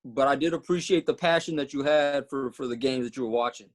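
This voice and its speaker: A man's voice: mid-range voice, a little fast. A man co-hosting a comedy podcast who teases the others in a needling way. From Los Angeles. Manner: teasing, needling. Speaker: podcast co-host. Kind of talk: casual comedic chat.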